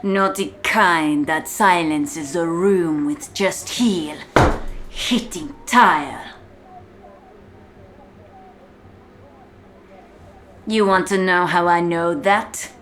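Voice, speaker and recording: A woman playing a contemptuous, commanding prison warden in a slow, deliberate, menacing ASMR-style roleplay monologue. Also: on the low side, a bit bright, a little hiss or hum. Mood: commanding menace. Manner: slow, deliberate, menacing, often dropping to a whisper.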